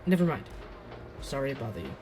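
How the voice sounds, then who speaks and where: A man acting a nervous, eager young barista and podcaster in an urban fantasy audio drama, halting and apologetic. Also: medium pitch, sing-song, background noise, natural voice. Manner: halting, self-interrupting, awkward.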